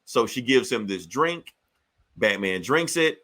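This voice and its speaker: Male podcast host, bright forceful voice, loose slangy comic-review chat with plenty of swearing. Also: mid-range voice, a little slow. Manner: profane, slangy, animated retelling.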